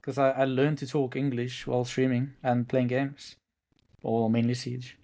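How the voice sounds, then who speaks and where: A man's voice: mid-range voice, flat delivery, moderate pace, dark tone. A young South African man whose first language is Afrikaans, a gamer guest chatting in a hesitant, laid-back way. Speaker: guest, semi-pro gamer. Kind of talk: casual chat.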